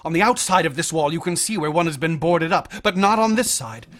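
Intense, high-voiced obsessive young male student. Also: lively intonation, fairly quick. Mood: feverish, obsessed. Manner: intense, brooding, hushed at times. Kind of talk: radio drama acting.

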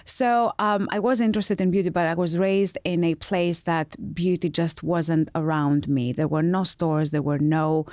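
A woman's voice: fairly low pitch, fairly even intonation, normal speed, hardly any pauses, a little mellow, no echo, clean studio sound. A middle-aged woman from Greece, telling her story as an interview guest, with many ums and restarts. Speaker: guest, founder of a beauty brand.

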